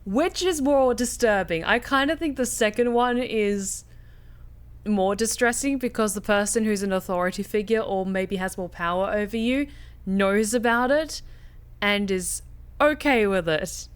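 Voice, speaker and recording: A woman's voice: medium pitch, a little slow, clean tone. A woman streamer giving casual commentary while playing a game, talking in a chatty, wry, sarcastic way into a close mic.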